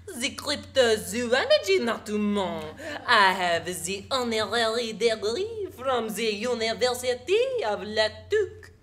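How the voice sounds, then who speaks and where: Smug, flighty French Canadian lady expert, theatrical, very slow delivery with big melodic swings, comic stage radio. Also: on the high side, sing-song, fairly bright tone. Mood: smug, proud. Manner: theatrical, sprinkles French, tags phrases with "eh". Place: theater stage with live audience.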